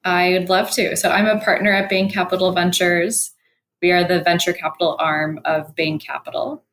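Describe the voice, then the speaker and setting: Low-voiced woman from Wisconsin. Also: flat delivery, normal speed, fairly bright tone. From Milwaukee, Wisconsin. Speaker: podcast guest, venture investor. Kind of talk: interview answers.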